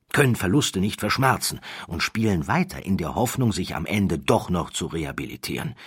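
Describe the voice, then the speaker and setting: A man voicing a confident, smooth-talking professional card sharp in an audiobook, persuasive and matter-of-fact. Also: low-pitched, no echo, studio quality, heavily acted. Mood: instructive, calculating. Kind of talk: acted audiobook dialogue.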